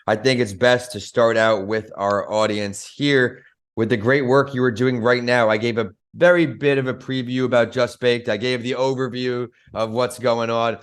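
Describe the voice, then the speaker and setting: A man hosting an entrepreneur podcast with a smooth, resonant voice. He reads his introductions in an upbeat, scripted way and moves briskly from guest to guest. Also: medium pitch, fairly even intonation. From northern United States. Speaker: podcast host.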